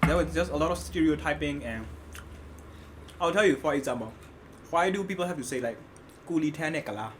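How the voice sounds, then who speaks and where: A man's voice: on the high side, expressive, brisk, pauses often, slight background noise. A man co-hosting a casual podcast, making wry, laid-back remarks among friends. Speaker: podcast co-host. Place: indoor room with friends.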